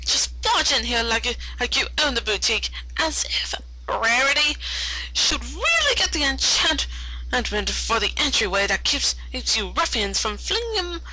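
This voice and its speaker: A woman's voice: high-pitched, very animated, measured pace, sharp tone, voice pushed up, exaggerated voice, rough recording. A woman voicing a fussy, dramatic, genteel female fashion-designer pony in a theatrical, refined manner for a story reading. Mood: indignant. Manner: theatrical, refined, gushing endearments.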